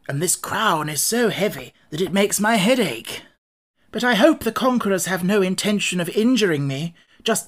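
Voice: mid-range voice, fairly bright tone, pitched above the performer's own voice, lightly acted. A storybook reader voicing a genial, self-important scarecrow king who speaks in a pompous, declamatory way.

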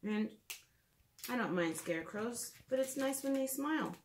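A woman in her thirties, a children's teacher, talks gently and simply through a drawing lesson, thinking aloud, recorded in a living room by a camera a few feet away. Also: a little high, expressive, normal speed, slight background noise. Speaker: children's art and reading teacher. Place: living room at home, indoors.

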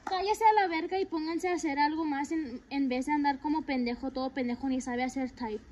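A woman's voice: high voice, fast talker, very noisy. A young woman chatting with friends, brash and profane, switching between English and Spanish. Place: dim living room with friends. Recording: busy room with people talking over.

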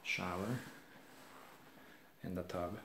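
Deep-voiced man touring a house. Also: steady tone, slow, gravelly, background noise. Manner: plain, matter-of-fact, pointing out rooms as he walks. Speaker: realtor giving a home tour. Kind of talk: casual walk-through narration. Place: empty house and its yard, indoors and outdoors.

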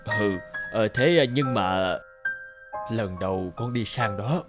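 A man playing a humble, middle-aged country father in a cartoon, speaking gently and haltingly. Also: on the low side, music in the background. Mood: wistful, concerned. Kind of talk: cartoon dialogue acting.

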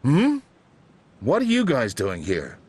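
A man playing a gruff middle-aged detective in a video game, a desperate, short-tempered father barking urgent orders. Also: medium pitch, huge pitch swings, slow, darkish tone, heavily acted. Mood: suspicious. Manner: brusque, commanding, urgent. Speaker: police detective character. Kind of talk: dramatic game voice acting.

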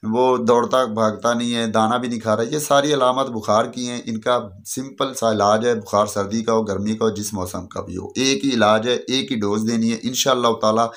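A man's voice: mid-range voice, fairly even intonation, moderate pace, few pauses, a bit bright. A man in his thirties with a Pakistani accent, giving informal instructions to camera and repeating his points step by step. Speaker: poultry channel host. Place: small furnished room indoors.

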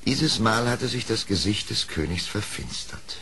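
A male narrator with a low voice tells a fairy tale. He moves expressively across his pitch range in a measured manner, over faint background noise. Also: lively intonation, bright, forceful tone.

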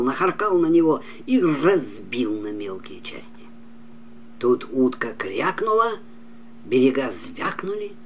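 An older man in his seventies telling a folk tale as a storyteller, with an expressive, dramatic, singsong delivery. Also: medium pitch. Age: older, about 70s. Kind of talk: expressive fairy-tale narration. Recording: clearly noisy home recording.

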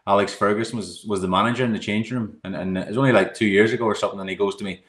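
A middle-aged Irish man talks quickly in a fairly low voice, speaking to the hosts over a video call from a room at home. He rambles a little as he goes, repeating words and leaning on "you know". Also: fairly even intonation, a bit bright. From Ireland. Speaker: guest, goalkeeping coach. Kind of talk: casual interview answers.

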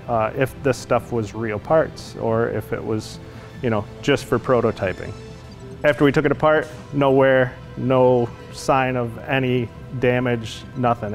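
Plain-spoken American man, music behind. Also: mid-range voice. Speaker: production manager. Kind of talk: customer testimonial interview.

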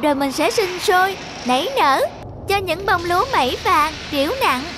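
A woman's voice: very high-pitched, animated, moderate pace, noisy background. A woman voicing a smug, boastful little weed in exaggerated cartoon folk-tale style. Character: smug, self-satisfied little weed hiding from trouble.

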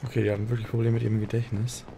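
A man doing casual let's play commentary in a creaky voice. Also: mid-range voice, level tone, racing. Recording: clear game noise behind voice. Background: video game sound.

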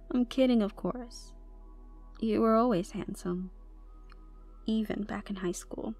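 Over background music comes a troubled young tomboy goth girl, acted by a woman in an ASMR roleplay. She is vulnerable and shy, speaking at a medium pitch with hesitant phrasing and hushed asides. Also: takes it slowly, long pauses. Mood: grateful, teasing. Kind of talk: intimate ASMR roleplay acting.

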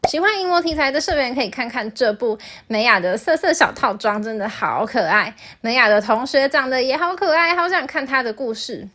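A woman's voice: high voice, animated, fairly quick, hardly any pauses, bright, forceful tone. A woman narrating a scripted review as the host of a video.

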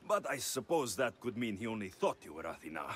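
An actor playing a wry, confident young Greek mercenary hero in a video game, with a dry, teasing delivery. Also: male voice, lowish voice, very animated, a little fast, fairly bright tone, a little hiss or hum. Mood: questioning, earnest. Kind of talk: video game cutscene dialogue.

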